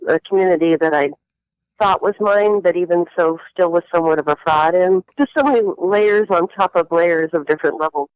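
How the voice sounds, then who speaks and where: A woman's voice: fairly low pitch, a little fast, smooth, no room sound. Reflective middle-aged woman, dull lo-fi sound. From Lincoln, Nebraska, US Midwest. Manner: reflective, rambling with restarts and wry laughs. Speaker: interview guest. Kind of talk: candid personal storytelling. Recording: dull lo-fi audio.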